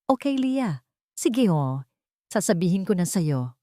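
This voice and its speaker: A woman's voice: lowish voice, sing-song, slow pace, mellow, no room sound, studio quality. A woman voicing a defensive, secretive, short-tempered husband in a narrated family drama. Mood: resigned, confessing.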